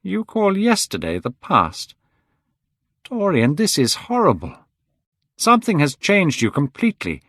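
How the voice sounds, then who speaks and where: A man reading aloud, voicing an older painter who sounds worried, gentle and pleading. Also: on the high side, wildly swooping pitch, a little slow, dry recording, clean studio sound, voice pushed up, full character voice. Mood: anxious, pleading.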